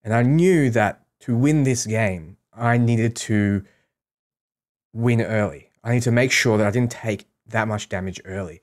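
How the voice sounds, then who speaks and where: A man's voice: lowish voice, unhurried, a little mellow. A man giving casual, explanatory commentary on a game, talking through his strategy as a streamer.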